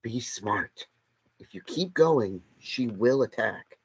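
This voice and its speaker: A man reading a blunt, wry young man at a table read, with a flat delivery. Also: medium pitch, slow, breathy edge, no echo, dull audio. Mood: dry.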